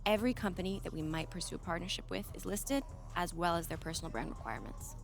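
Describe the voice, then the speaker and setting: A woman's voice: on the low side, expressive, fast, creaky, soft background music. A woman playing a confident, upbeat young business manager in a video game cutscene, assured and explanatory. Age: young adult.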